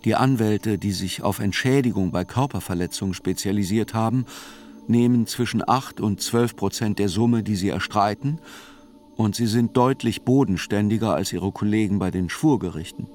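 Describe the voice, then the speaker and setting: An actor narrating a radio play as a sober court chronicler, with measured, matter-of-fact and deliberate phrasing. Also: male voice, lowish voice, darkish tone, close to an everyday voice. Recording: music under the voice. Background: music.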